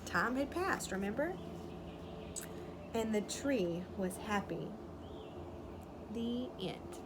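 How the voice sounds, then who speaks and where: A woman's voice: mid-range voice, lively intonation, slow pace, background noise. A woman reading a story aloud to young children as a teacher would, explaining and asking simple questions.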